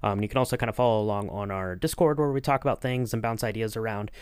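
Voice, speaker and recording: A man hosting a podcast in casual chat, talking in a halting, rambling way. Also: lowish voice, clear, resonant tone, no room sound. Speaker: podcast host and interviewer.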